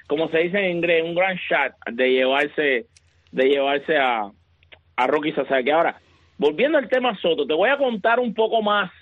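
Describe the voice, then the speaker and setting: A man talking baseball on a sports radio show, emphatic and building excitement as he argues his point. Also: on the high side, no room sound. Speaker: baseball analyst. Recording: tinny phone line.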